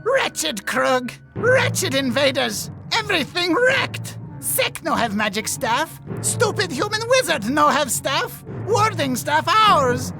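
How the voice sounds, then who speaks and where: A woman's voice: high voice, very animated, slow pace, vocal fry, hardly any pauses, over loud music, full character voice. A woman voicing a spiteful goblin leader in a fantasy video game, taunting in broken, primitive grammar. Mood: angry, defiant.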